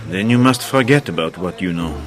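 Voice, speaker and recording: An actor playing a sly hotel manager in a radio drama. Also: male voice, low-pitched, lively intonation, brisk, soft background music. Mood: quietly concerned. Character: amused, sly French hotel manager, confidant to the house detective.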